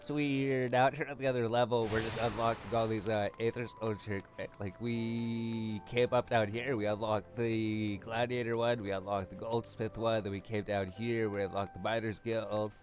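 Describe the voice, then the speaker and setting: Male gaming host, lowish pitch, casual rambling walkthrough delivery over clear background music. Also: little pitch movement, moderate pace, hardly any pauses. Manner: rambling, thinking aloud. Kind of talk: casual game walkthrough commentary. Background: game music.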